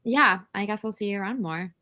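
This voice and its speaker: A woman's voice: mid-range voice, brisk, bright, forceful tone, natural voice. An actress playing a blunt, scornful woman of about thirty with a dry, cutting manner in a comedy table read. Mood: mocking. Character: blunt, sardonic thirty-year-old woman, scornful ex-girlfriend.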